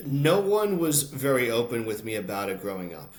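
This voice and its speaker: A man's voice: mid-range voice, big pitch swings, moderate pace. An American man talking conversationally as an interview guest, telling personal stories. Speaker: interview guest, announcer and voice-over artist. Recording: roomy echo, noticeable background noise.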